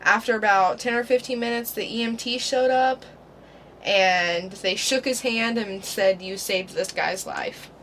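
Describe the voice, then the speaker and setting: An easygoing woman co-hosts a casual podcast and muses through her guesses. She speaks slowly. There is a faint hiss behind her. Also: medium pitch, a bit bright. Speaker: podcast co-host.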